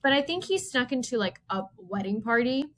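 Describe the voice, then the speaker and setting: A woman's voice: a little high, lively intonation, brisk, a bit bright. A woman co-hosting a podcast, chatting informally about a movie and chiming in with her own opinions. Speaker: podcast co-host. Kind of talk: casual movie banter.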